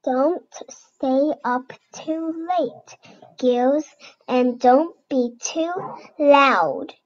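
Woman as a firm, high-voiced mother zebra. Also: drawn-out words. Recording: dull lo-fi home recording.

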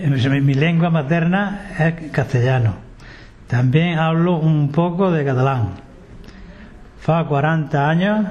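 Man from Jaén, hesitant student reading, slow delivery, slightly high; lo-fi sound. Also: a little mellow. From Jaén, Spain. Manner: hesitant, stumbling over words. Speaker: Catalan course student. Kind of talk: reading a short self-introduction. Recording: dull lo-fi recording.

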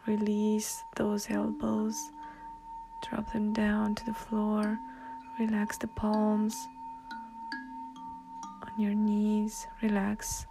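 A woman guiding a meditation in a soft, hushed, breathy way, leaving long pauses between instructions. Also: mid-range voice, completely flat delivery. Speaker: meditation guide. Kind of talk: guided meditation narration. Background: soft meditation music.

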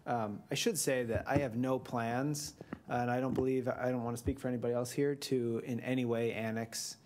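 A man's voice: mid-range voice, fairly even intonation, moderate pace, a little mellow, a little hiss or hum. A man chairing a village board public hearing in a large council chamber, talking casually with some restarts.

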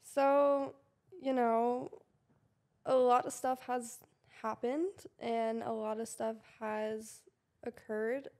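A young woman sits on a couch in a bright living room and talks into a handheld mic with a slightly high voice. She answers carefully and keeps personal things close, padding her words with "I mean" and "like." The talk is a loose podcast chat. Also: steady tone, slow pace, creaky. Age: young adult. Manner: guarded, soft-spoken, with frequent "I mean" and "like". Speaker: podcast guest. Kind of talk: casual interview chat. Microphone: handheld mic with headphones.

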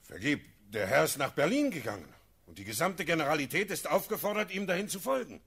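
An actor playing a grave officer bearing news in a classical radio drama, formal and husky, with dramatic delivery. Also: male voice, fairly high pitch, sing-song, fast talker, fairly bright tone, full character voice. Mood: earnest. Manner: dramatic, formal verse narration.

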